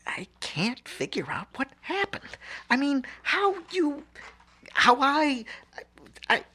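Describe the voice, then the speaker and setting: A man's voice: very high voice, huge pitch swings, slow, pushed and bright, full character voice. An actor in a radio play playing an anxious, bewildered museum curator in his thirties, stammering and flustered. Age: 30s.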